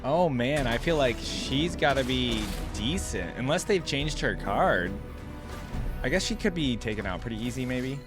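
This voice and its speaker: A man hosting a reaction video, chatting informally and slangily as he thinks out loud. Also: mid-range voice, animated, moderate pace, music in the background. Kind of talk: casual reaction commentary.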